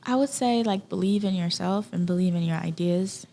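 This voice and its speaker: A woman's voice: fairly low pitch, normal speed, gravelly, dark tone. A woman from Haiti speaking casually and earnestly as an interview guest, repeating key phrases for emphasis. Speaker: podcast guest.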